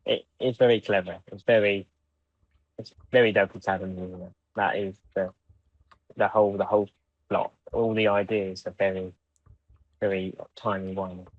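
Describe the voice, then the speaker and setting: Slightly muffled dry recording, man, lowish voice, casual podcast chat, halting phrasing. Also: little pitch movement, no echo. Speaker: podcast co-host. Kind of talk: casual chat reviewing audio drama.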